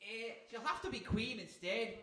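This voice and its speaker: A cheeky talking seagull for a radio play, scoffing and teasing in folksy dialect. The pitch is high and swoops about freely, heard from a distance in a roomy hall. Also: female voice, animated, normal speed, pushed and bright. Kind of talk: live radio play acting. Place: stage before a live audience in a hall. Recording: roomy hall, far from microphone.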